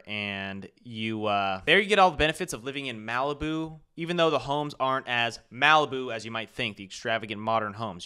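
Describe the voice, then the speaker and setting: A man's voice: mid-range voice, sharp tone, smooth. A young man, a real estate agent, talking loosely through a map tour of a neighborhood with casual fillers and restarts.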